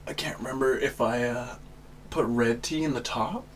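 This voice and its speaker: A man's voice: mid-range voice, steady tone, normal speed, a bit bright, slight background noise. A young man hosting a podcast, giving rambling, casual explanations and often searching for words. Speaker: podcast host. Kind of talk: casual chat.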